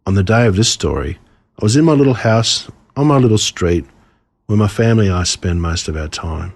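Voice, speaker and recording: An Australian man reading his own humorous stories as scripted narration, in an expressive, wry way. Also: fairly low pitch, a little fast, vocal fry.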